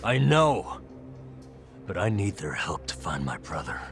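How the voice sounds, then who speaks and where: Gravelly, hot-headed tough. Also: male voice, deep voice, wildly swooping pitch, measured pace, a bit bright, soft background music, heavily acted. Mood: defiant. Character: brash, hot-headed, stubborn male street tough. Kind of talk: English video game voice acting.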